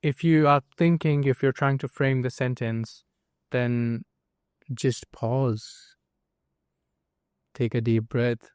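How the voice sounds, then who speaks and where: A man's voice: medium pitch, level tone, slow pace, pauses often, darkish tone, clean tone, no room sound, clean studio sound. A young man from India, a native Hindi speaker, hosting a casual podcast chat in an earnest way and often asking his guest for agreement. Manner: earnest, asks for agreement, many fillers. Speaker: podcast host.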